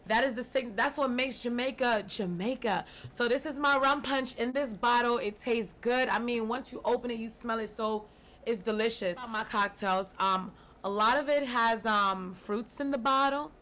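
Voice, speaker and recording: A woman's voice: fairly high pitch, moderate pace, a bit bright. A woman from Brooklyn, a podcast guest chatting casually and laid-back about her drinks business, with slangy asides. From Brooklyn, New York.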